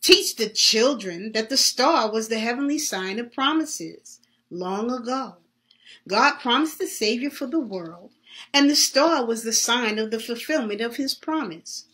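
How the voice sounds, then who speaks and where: A woman voicing a solemn, earnest Santa Claus in a read-aloud story, teaching slowly and emphatically. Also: on the high side, lively intonation, a bit bright. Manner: slow, emphatic, preacherly.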